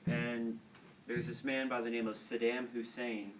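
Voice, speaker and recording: A seventeen-year-old boy telling a rambling, halting history story to classmates in a casual way. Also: medium pitch, little pitch movement. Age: teens. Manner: rambling, halting, with fillers and repeated words. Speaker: student storyteller. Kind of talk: casual informal talk to classmates. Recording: distant mic, faint background noise.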